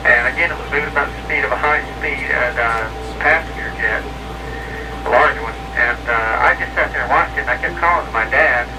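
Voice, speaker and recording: A man's voice: medium pitch, a little fast. Rambling man on a noisy CB radio. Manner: rambling, repeats and corrects himself. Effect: CB radio transmission. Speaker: hobby CB radio operator. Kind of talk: casual CB radio chat and storytelling. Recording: distant, noisy CB radio transmission.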